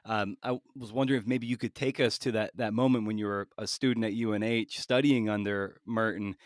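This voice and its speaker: Man, slightly low pitch, dry studio-like sound, casual podcast interviewer, halting, repeats words. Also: steady tone, no room sound. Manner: halting, repeats words while forming thoughts.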